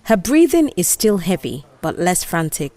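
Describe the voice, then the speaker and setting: A woman's voice: lowish voice, sing-song, normal speed, warm tone. A woman with a Nigerian accent narrating a scripted audio drama.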